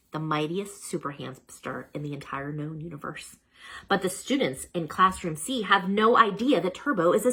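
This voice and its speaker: A woman reading a children's storybook aloud as a storyteller, dramatic and expressive, with chatty asides to the listeners. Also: fairly low pitch, slightly rough.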